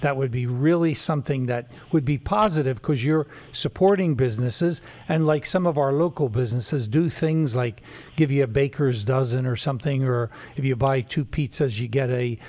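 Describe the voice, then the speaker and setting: A man hosts a talk broadcast and earnestly pitches a community initiative to his guest. He speaks at a mid pitch and fills his speech with small pauses and fillers. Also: a little mellow. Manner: earnest, promotional, many fillers. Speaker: radio talk show host. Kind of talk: talk show hosting.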